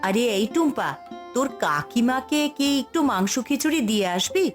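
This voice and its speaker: Woman acting a gentle, high-voiced village mother. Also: expressive, normal speed, faint music behind, close to an everyday voice. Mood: caring. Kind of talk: cartoon voice acting.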